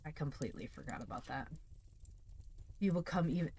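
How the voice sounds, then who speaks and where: Calm woman streamer, slightly low voice. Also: fairly quick, slightly rough. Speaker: livestream host reading stories aloud. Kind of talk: casual livestream chat.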